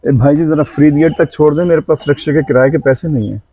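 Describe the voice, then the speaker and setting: Guy acting as pleading hitchhiker, high voice, skit drama, dull audio w some music. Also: quick pace, natural voice. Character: pleading male stranger hitching a ride, feigning sickness. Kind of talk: acted moral-story skit. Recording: dull lo-fi sound, music behind.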